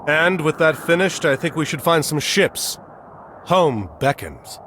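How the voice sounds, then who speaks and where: A man's voice: a little high, expressive, a little slow, a bit bright, a little hiss or hum. A man playing a resolute, noble, commanding war hero in a video game cutscene. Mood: calm, resolute.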